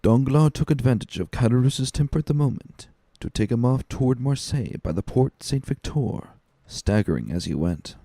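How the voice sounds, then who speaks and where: A man's voice: medium pitch, expressive, moderate pace, vocal fry, few pauses, mellow, no echo, clean studio sound. A man narrating a classic novel as a dramatic audiobook reading.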